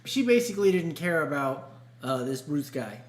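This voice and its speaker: A man's voice: on the high side, big pitch swings, normal speed. A man co-hosting a podcast, telling stories in a casual, easygoing, colloquial way. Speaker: podcast co-host. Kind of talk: casual conversational storytelling. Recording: echoey room with hum and noise.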